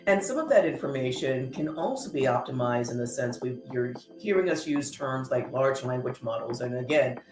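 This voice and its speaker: A woman from San Diego whose first language is Spanish, co-hosting a casual tech chat over a video call, expressive and checking in with "right?". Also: a bass voice, normal speed, few pauses, reverberant, over music, rough recording. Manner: expressive, says "right?" often. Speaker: co-host, coach.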